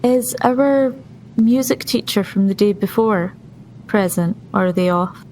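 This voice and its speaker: Creaky slow-talking woman in a tabletop game. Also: mid-range voice, a little mellow, a little hiss or hum, low-quality recording. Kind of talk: casual in-character chat.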